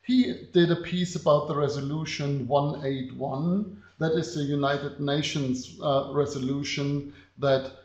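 Slow German-accented man, gravelly voice. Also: a little high, steady tone, darkish tone. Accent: German (Bavarian). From Germany, Bavaria. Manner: slow, unhurried with frequent filler pauses. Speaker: design professor. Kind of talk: webinar lecture presenting slides. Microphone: computer mic on a video call. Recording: echoey, dull webinar audio.

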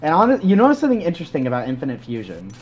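A man guesting on a gaming stream, rambling excitedly as he explains things. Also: on the high side, very fast, dull audio. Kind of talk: casual gaming chat.

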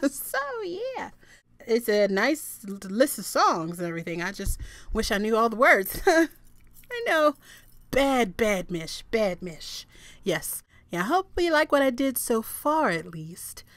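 A young woman chatting casually to her webcam in a small room, laughing at herself and breaking into bits of song, with a low register voice. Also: very animated, slow, a bit bright. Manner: giggly, self-deprecating, breaks into song. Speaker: vlogger, singer. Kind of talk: casual chat to camera, singing snippets.

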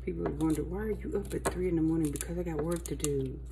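A woman's voice: sits low, fast talker, vocal fry, noisy background. A middle-aged woman talking casually and encouragingly while prepping food at her kitchen counter, filmed on a phone. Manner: talkative, encouraging, chatty while chopping vegetables. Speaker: home cooking vlogger. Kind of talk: casual chat while cooking. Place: home kitchen counter at night, indoors.

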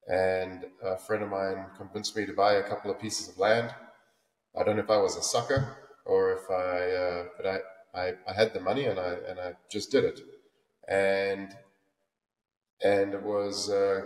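Man with South African accent, low pitch, echoey room, far from mic, halting storytelling. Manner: rambling, many restarts and fillers. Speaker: guest, luxury home builder. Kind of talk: casual interview storytelling. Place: home office, indoors. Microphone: video call webcam. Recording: roomy echo, far from mic.

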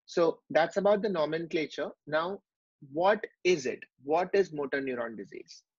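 Man, medical lecture, slightly high voice, husky, dull lo-fi dry audio. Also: normal speed, no echo. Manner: explanatory, with frequent fillers and restarts. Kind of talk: whiteboard teaching lecture. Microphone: online class recording.

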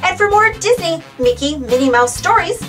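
A woman narrating a children's read-aloud storybook in an animated, expressive manner. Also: extremely high pitch, normal speed, far from the mic, faint music behind.

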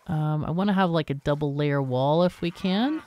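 A woman's voice: deep voice, normal speed, no room sound, highs cut off. A woman gives casual, unscripted game commentary, thinking aloud and asking herself questions as she plays. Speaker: gaming video host.

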